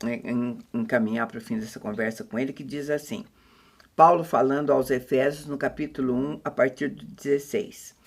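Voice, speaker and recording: A middle-aged Brazilian woman hosts a relaxed faith talk. Her voice sits in an everyday conversational register. She speaks expressively, often putting questions to her listener. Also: rumbling low, moderate pace, gravelly, breathy edge.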